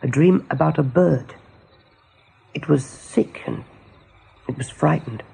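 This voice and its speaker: Foreboding young male rabbit, soft dark tone, very husky, urgent radio drama delivery. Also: fairly high pitch, slow pace, full character voice. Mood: grave, pleading. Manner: urgent, hesitant, pleading.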